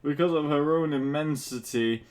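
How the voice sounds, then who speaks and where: A man's voice: a little high, moderate pace, a little mellow. A man reading fan fiction aloud for comedy, amused and crude, stumbling a little and dropping in asides. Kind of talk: comic read-aloud with asides.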